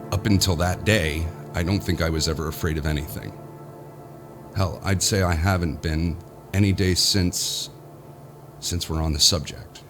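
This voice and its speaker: Deep-voiced, spooked, rambling boss. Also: male voice, a bit bright. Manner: folksy, rambling, halting with repeated phrases. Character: spooked, rambling male business boss recounting his ordeal. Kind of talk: audio horror drama monologue.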